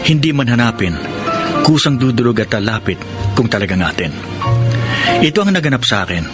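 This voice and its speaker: A man's voice: mid-range voice, very animated, brisk, over loud music, lightly acted. A Filipino man playing a kind, earnest taxi driver in love, in a radio drama. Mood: reflective. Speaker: actor in radio drama, lead and narrator.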